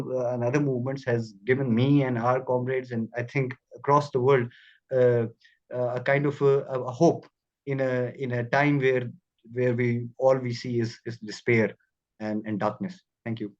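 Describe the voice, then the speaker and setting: A man giving an unscripted speech at an online meeting, halting with many repeats and fillers. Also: medium pitch, fairly even intonation, a little mellow. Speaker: speaker at an online political meeting. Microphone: video call. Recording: dull lo-fi call audio.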